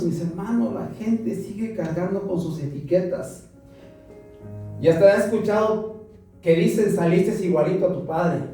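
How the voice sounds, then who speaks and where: A man's voice: high-pitched, mellow, far from the mic. A Mexican man preaching a sermon to a congregation, asking them questions and repeating them back. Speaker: pastor. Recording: roomy echo from afar, music behind.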